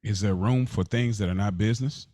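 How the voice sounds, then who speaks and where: American man, fairly deep voice, inquisitive, reflective, halting casual podcast speech, dry recording. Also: dark tone, no room sound. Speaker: podcast co-host. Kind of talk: casual conversational podcast talk.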